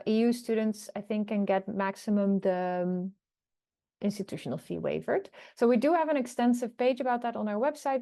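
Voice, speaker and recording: A woman's voice: mid-range voice, warm tone. A woman hosting an online college information day over a video call, relaying questions and passing them to staff and students. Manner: friendly, relays questions and hands them to others. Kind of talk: moderating an online Q&A.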